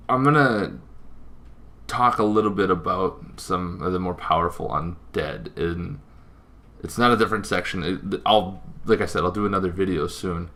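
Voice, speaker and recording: A man hosting a casual explainer on tabletop roleplaying lore, talking informally and haltingly with frequent asides. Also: low voice, sharp tone. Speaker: tabletop gaming video host.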